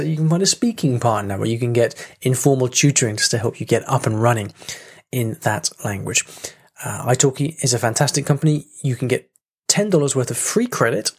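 A man hosting a solo podcast, talking casually with many fillers, restarts and small laughs. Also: medium pitch. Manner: chatty, self-interrupting with fillers and laughs. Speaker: podcast host.

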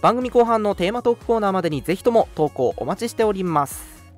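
A man hosting a radio show in casual chat, talking in an animated, chatty way with a co-host. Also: high voice, fast, soft background music.

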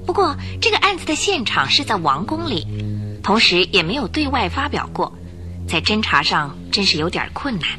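A woman tells a radio detective story in a bright, forceful voice. Her pitch swings widely as she dramatizes the plot, and faint music sits underneath her. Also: very animated, normal speed. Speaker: narrator. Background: music bed.

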